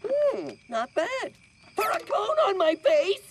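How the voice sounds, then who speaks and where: An actor voicing a dim-witted, excitable, good-natured jungle wild man in a cartoon, with a childlike, dramatic way of talking. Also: extremely high pitch, slow pace, very noisy, full character voice. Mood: puzzled, grudging. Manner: childlike, third-person speech, dramatic. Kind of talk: animated cartoon voice acting. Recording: loud music behind the voice.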